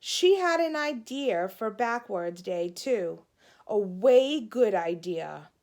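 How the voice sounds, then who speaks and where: A woman reads aloud for children at a slow, deliberate pace. Her middle-pitched voice tells the story expressively, phrase by phrase, in a teacherly way. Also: lively intonation, darkish tone.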